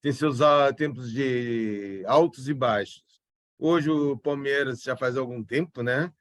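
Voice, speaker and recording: A man in his fifties from São Paulo with a slight gaúcho accent, a forensic expert guest recovering from a cold and talking in a relaxed, rambling way. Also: mid-range voice, slow pace, no room sound, studio quality. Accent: slight southern Brazilian gaúcho. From São Paulo, Brazil. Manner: relaxed, rambling, with frequent restarts. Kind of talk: casual interview talk.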